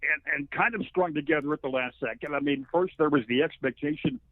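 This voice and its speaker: A man's voice: a little high, fairly quick, dry recording. Phone-line older man, folksy sports storyteller. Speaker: radio play-by-play sports announcer, interview guest. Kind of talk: conversational sports interview.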